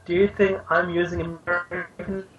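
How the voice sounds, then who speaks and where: A man calling in, speaking softly and agreeably. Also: sits high, flat delivery, moderate pace, far from the mic, narrow, tinny audio, soft background music. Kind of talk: caller in a chat.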